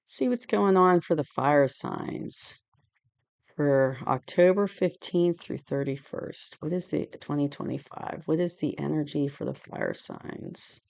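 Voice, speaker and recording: A woman with a low, hoarse voice, still recovering from a cold, talks through a tarot spread in a loose, meandering way. She leans on "you know" and "like" and sounds close and dry in a dim room at home. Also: a little slow, a little mellow, no room sound. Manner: rambling, loose, full of "you know" and "like", with light laughs. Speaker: tarot reader. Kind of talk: casual spoken tarot reading. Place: indoors at home, dim room lit by a candle and lamp.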